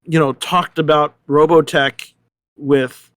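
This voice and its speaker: A middle-aged man in a casual panel discussion, rambling and halting as he often repeats his words. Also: on the high side, steady tone, fairly bright tone, no echo, clean studio sound.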